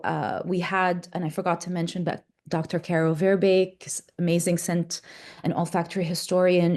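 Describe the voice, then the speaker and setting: Mellow-voiced woman perfumer presenting, hesitant. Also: lowish voice. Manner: hesitant, many fillers and self-corrections. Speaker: perfumer and interdisciplinary artist, presenter. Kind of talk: conference presentation with slides.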